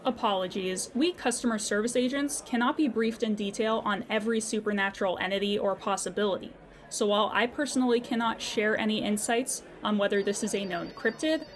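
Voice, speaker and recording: A woman's voice: mid-range voice, fairly quick, close to an everyday voice. A woman playing a polite, unflappable customer service agent in an audio drama, speaking formally and patiently. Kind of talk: audio drama phone dialogue.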